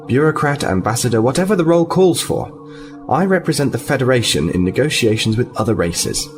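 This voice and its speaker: Man as lively-pitched, earnest young-officer type lieutenant. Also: brisk, music in the background, lightly acted. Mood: composed, cordial. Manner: polite, explanatory, composed. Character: earnest, diplomatic male starfleet lieutenant, liaison officer. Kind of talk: sci-fi audio drama acting.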